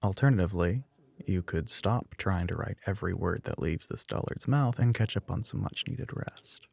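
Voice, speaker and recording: A man voices a young male telepath, a smug classmate in an ASMR roleplay. His voice is husky with a creaky edge, recorded close and very dry. He is teasing and matter-of-fact, speaking softly as if to one listener. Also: low-pitched, normal speed, no room sound, heavily acted.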